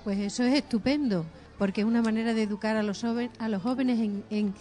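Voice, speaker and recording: A woman from Spain co-hosting an interview, asking the guests questions deliberately. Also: on the high side, steady tone, mellow. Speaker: co-host.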